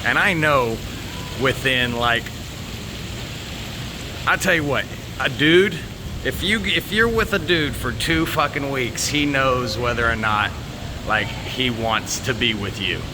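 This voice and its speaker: Slow, halting American man, noisy background. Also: mid-range voice. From United States. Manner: candid, sweary, halting. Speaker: vlogger. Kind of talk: casual, rambling chat to viewers.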